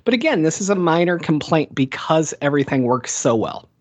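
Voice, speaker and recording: A man's voice: fairly high pitch, very animated, fairly quick. A man co-hosting a podcast, chatting casually about a film with blunt, joking asides.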